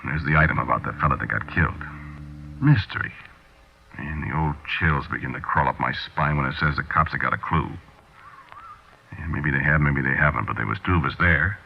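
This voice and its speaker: An actor playing a hard-boiled American small-time crook, narrating colloquially and confidingly in a 1940s radio drama. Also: male voice, very deep voice, fairly quick, vocal fry. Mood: brooding, uneasy. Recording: dull 1940s radio broadcast recording.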